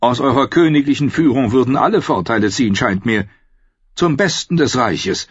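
A man voicing a fawning, nervous lord who flatters a king, in a slightly dull audiobook recording. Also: medium pitch, normal speed, breathy edge, no room sound, voice pushed up. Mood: wheedling. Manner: obsequious, eager.

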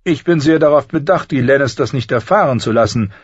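A man's voice: mid-range voice, brisk, breathy edge, pitched above the performer's own voice, natural voice. A man voicing a sly, smug small lord with a teasing, theatrical manner in an audiobook. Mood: smug, amused. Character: sly mocking small lord with pointed goatee, brothel owner. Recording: dull, roomy audiobook recording.

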